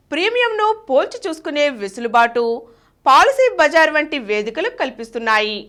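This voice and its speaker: A woman's voice: high voice, bright, forceful tone. A woman reading a scripted informational narration as a presenter. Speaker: presenter reading health advice.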